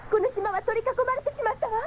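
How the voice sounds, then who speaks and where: A woman's voice: very high-pitched, very fast. A woman playing an alert young female cyborg scout in a 1970s radio drama, with dramatic, feminine phrasing. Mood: alarmed. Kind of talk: 1970s anime-style radio drama acting. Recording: dull, noisy 1979 radio recording.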